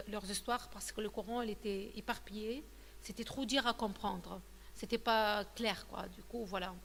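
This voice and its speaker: A woman in her thirties, from Tunisia, tells her story in an interview with a gravelly edge to her voice. She talks haltingly, with many fillers and restarts. The voice rings with a long reverb, and a faint hum sits underneath. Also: mid-range voice. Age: 30s. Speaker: guest giving a testimony. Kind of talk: personal testimony interview.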